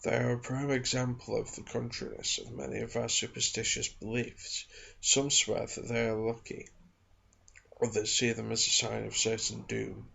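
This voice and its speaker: A soft-spoken man with a husky voice reads from a non-fiction book in a measured, careful ASMR style. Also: medium pitch, flat delivery, lo-fi sound. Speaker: ASMR creator reading aloud.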